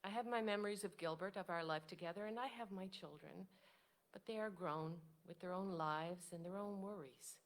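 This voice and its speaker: A woman's voice: on the low side, normal speed, natural voice. A woman in a staged play reading, playing a lonely, modest old suffragist with an earnest, wistful delivery. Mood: lonely, regretful.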